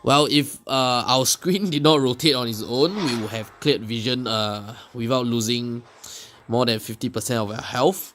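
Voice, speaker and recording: A man giving casual, unscripted commentary on a mobile game, speaking haltingly and often pausing mid-sentence. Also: mid-range voice, slight background noise. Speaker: gameplay video creator. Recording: music behind the voice. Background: loud game music.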